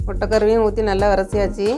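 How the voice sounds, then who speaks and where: A woman's voice: medium pitch, flat delivery, fast, mellow, soft background music. A woman narrates a recipe step by step in a plain, homely, colloquial way. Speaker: home cooking channel host.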